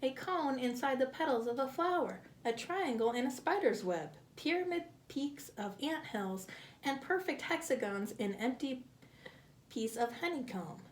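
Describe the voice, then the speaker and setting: A woman reading a picture book aloud to children, expressively and with deliberate phrasing, as a storyteller. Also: sits high, expressive, a little hiss or hum.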